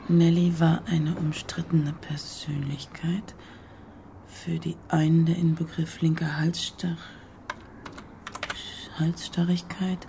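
A thoughtful, probing woman writer in a radio drama. Her voice has a marked creak and she coaxes as she questions. There is clear background noise around her. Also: low voice, warm tone, full character voice. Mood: musing, composing. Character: inquisitive, coaxing female writer probing a witness. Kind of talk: naturalistic radio drama acting.

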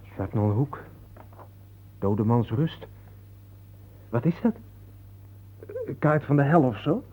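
A male actor in a Dutch radio play, playing a skeptical, excitable photographer who talks bluntly and colloquially, full of questions. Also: medium pitch, takes it slowly. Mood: skeptical, puzzled. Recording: noisy, thin phone-like old radio recording.

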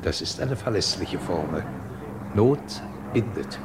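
An actor playing a ruthless, scornful British scientist in a 1950s German radio drama, cold, cutting and commanding. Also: male voice, low-pitched, lively intonation, slow, noisy background. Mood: cold, intimate.

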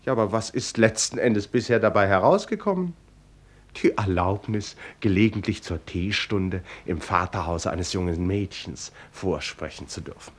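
A man's voice: on the low side, expressive, normal speed. A man narrating a radio play in an ironic, teasing manner, speaking as if directly to listeners and characters. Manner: ironic, teasing, chuckling asides.

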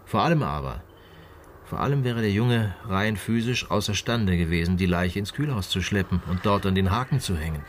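A man playing a calm, methodical police inspector in a radio crime drama, speaking in a measured, matter-of-fact way. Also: sits low, slight background noise. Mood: thoughtful, measured. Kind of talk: naturalistic German radio drama dialogue.

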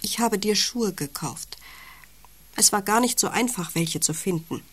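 An actress in a radio play playing a caring, persistent wife, soft and coaxing, with a very breathy, half-whispered voice. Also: female voice, medium pitch, lively intonation, unhurried. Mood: concerned, nagging. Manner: soft, coaxing, nagging gently. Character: gentle, caring, persistent wife of taciturn man. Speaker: radio drama actor. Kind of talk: intimate German radio play acting.

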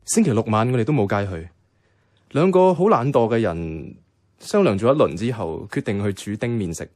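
An actor playing a mild, wry young man narrating his life as a reluctant flatmate in a Cantonese radio drama, with a Hong Kong accent and a casual, understated delivery. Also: lowish voice, moderate pace, natural voice. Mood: wry, reflective. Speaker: narrator and lead character. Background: background music.

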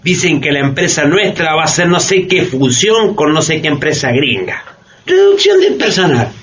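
Bitter working man, swooping higher-pitched voice. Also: very animated, moderate pace, a bit bright. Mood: bitter, sarcastic. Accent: Latin American Spanish. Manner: sarcastic, slangy, complaining. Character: bitter, mocking working-class man griping about layoffs. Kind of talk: colloquial radio theatre acting. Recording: dull lo-fi radio recording, faint noise.

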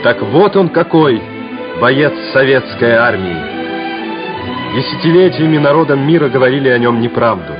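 A man narrating a Soviet war radio play in a measured, solemn, declamatory manner. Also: medium pitch, lightly acted. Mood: exultant, proud. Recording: dull vintage radio play recording, loud music. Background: music.

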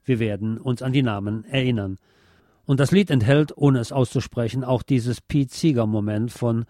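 A man presents a scripted commentary in a soft, mellow voice. The sound is very dry and close, with the relaxed tone carrying the reading. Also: mid-range voice, moderate pace, no room sound. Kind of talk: scripted political and music commentary.

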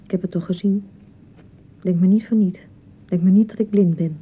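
An actress playing an insecure young newlywed wife in a radio drama, nagging and reproaching in repeated, sarcastic phrases. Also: female voice, fairly low pitch, fairly even intonation, moderate pace, slightly rough, phone-quality sound. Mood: hurt, reproachful. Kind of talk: naturalistic radio drama acting.

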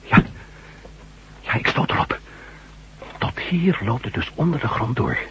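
An actor playing a curious, dryly joking ex-astronaut reporter in a science-fiction radio drama. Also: male voice, mid-range voice, wildly swooping pitch, measured pace, a bit bright. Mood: busy, determined. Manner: dry, teasing, musing. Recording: dull lo-fi radio recording, humming noise.

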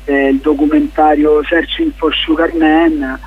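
A man giving reflective interview answers as a guest playwright, haltingly, searching for his words. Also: on the high side, level tone, husky, narrow, tinny audio. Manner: halting, searching for words, many fillers and restarts.

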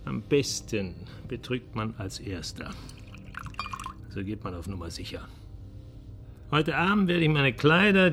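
Gravelly, sharp-edged cynical bankrupt businessman. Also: mid-range voice, a little hiss or hum. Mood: mocking. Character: bankrupt businessman, bitter, cynical, talking down to an employee. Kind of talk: German radio play acting.

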